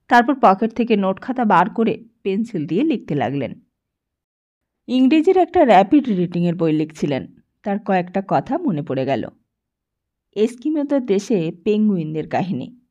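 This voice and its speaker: A woman audiobook narrator reads scripted fiction expressively at a middling pitch. The recording is dull and lo-fi. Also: warm tone. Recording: dull lo-fi sound.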